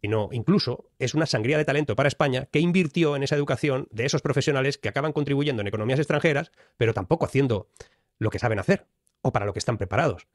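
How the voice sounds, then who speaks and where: A man's voice: medium pitch, racing, dry recording, clean studio sound. A man from Spain, delivering fluent, emphatic analytical commentary. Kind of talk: explanatory commentary.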